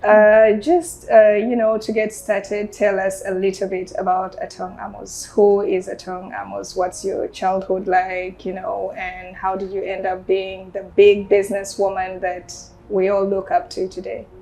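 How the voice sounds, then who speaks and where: A woman's voice: medium pitch, completely flat delivery, measured pace, gravelly, warm tone, poor audio. A woman hosting a television interview, introducing and questioning a guest. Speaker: TV interview host.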